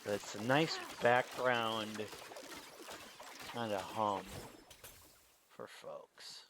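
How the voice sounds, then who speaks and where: A man streaming a game, chatting in a relaxed, rambling way. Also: fairly low pitch, very slow, background noise. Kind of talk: casual commentary while gaming.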